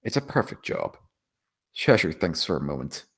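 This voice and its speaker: A man's voice: deep voice, sing-song, normal speed, fairly bright tone, slightly rough. A man reading scripted stage directions as the narrator of a screenplay table read.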